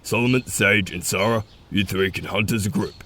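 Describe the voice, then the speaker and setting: A man playing a solemn, middle-aged wolf-pack leader and battle veteran in a scripted fantasy drama, speaking with measured authority. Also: lowish voice, pushed and bright, slight background noise. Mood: steady, commanding.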